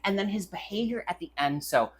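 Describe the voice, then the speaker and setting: A man's voice: sits high, expressive, brisk, breathy edge. A man co-hosting a review podcast, talking casually with irreverent, crude joking. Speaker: podcast co-host reviewing short fiction. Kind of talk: casual banter and review chat.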